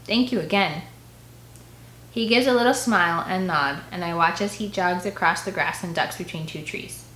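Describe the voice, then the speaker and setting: A woman narrating an audiobook in an expressive, first-person read-aloud style. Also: on the low side, a little fast, a little hiss or hum. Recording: echoey, roomy sound.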